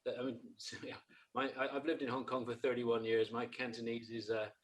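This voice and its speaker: A man's voice: mid-range voice, flat delivery, fairly quick. A man on a career panel, chatty and self-deprecating in his points.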